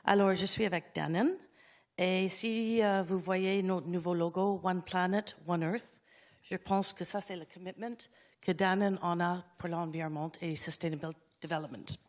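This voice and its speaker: Panelist, a woman with a lowish voice who mixes into hesitant French. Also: steady tone. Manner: halting French mixed with English words. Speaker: conference panelist. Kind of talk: conference panel talk.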